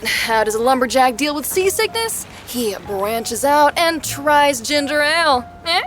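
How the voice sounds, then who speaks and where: A brash, playful woman, voiced by an actress, who teases in slangy talk. Her pitch swings widely and animatedly. It sounds like audio drama. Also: animated, measured pace, a bit bright, faint music behind. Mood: teasing, brash. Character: brash, foul-mouthed, teasing woman who loves bad jokes.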